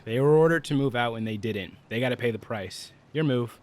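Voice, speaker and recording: An actor playing a wry, needling 1930s policeman in a radio play, sardonic and unhurried. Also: medium pitch, a little mellow, natural voice. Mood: wry, smug.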